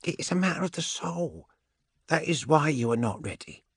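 A man's voice: fairly high pitch, sing-song, normal speed, slightly rough, dry recording, studio quality, pitched above the performer's own voice, heavily acted. A man voicing a cool, mocking gene witch woman in a science fiction audiobook, speaking in a wry, portentous and self-assured manner. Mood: blunt, emphatic. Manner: wry, portentous, sure of herself.

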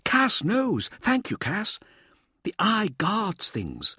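Man playing a high-pitched, excitable showboating scientist. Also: takes it slowly, breathy edge, no echo, studio quality, heavily acted. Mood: showy, teasing. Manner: theatrical, pressing, didactic. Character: eager, showboating male expedition scientist, excitable and insistent. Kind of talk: audiobook character dialogue.

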